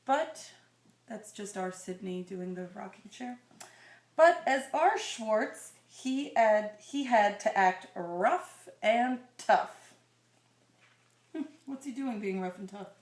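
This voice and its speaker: A woman's voice: mid-range voice, animated, slow pace. A woman reading a children's story aloud expressively, drawing out phrases and adding conversational asides and questions for her listener. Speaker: reader of a children's picture book to a listener. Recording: roomy, echoing sound.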